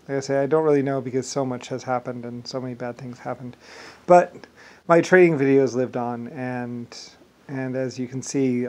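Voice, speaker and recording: A man in his forties talking casually to camera in a rambling, halting way, often repeating words for emphasis. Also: medium pitch, a little mellow. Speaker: vlogger. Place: small wood-furnished room at home. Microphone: phone or webcam at arm's length.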